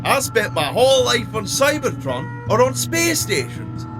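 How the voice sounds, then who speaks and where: A man playing a naive, eager little robot adventurer in an audio drama, with an excitable, guileless, chatty manner. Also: very high-pitched, measured pace, music in the background, heavily acted. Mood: excited, chirpy.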